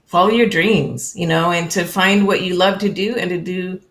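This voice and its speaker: Low gravelly woman from California. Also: normal speed, fairly bright tone. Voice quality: friendly, with frequent ums and small laughs. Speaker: interview guest. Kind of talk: casual conversational interview.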